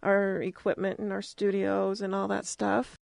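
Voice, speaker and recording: A woman's voice: medium pitch, completely flat delivery, measured pace, gravelly, no room sound. Chatty American woman interviewer, dry sound. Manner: informal, chatty phrasing. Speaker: interviewer, radio drama producer. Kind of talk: casual interview chat.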